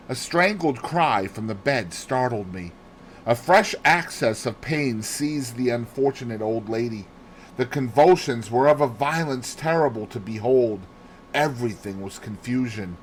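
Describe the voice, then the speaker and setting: A man narrates a classic mystery novel in a theatrical, expressive style. His mid-pitched voice moves through animated swings. A slight layer of background noise sits under the reading. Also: rough recording. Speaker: audiobook narrator.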